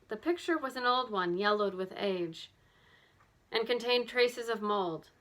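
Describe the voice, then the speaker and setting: A woman narrates a children's mystery book aloud. Her medium-pitched voice has a bright, forward sound suited to scripted storytelling. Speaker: audiobook narrator.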